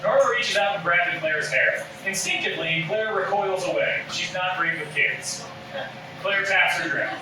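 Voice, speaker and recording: Animated high-voiced man narrating. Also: moderate pace. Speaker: stage directions reader. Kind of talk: scripted narration at a table read. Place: live reading in an echoey room. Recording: echoey room, distant mic, background noise.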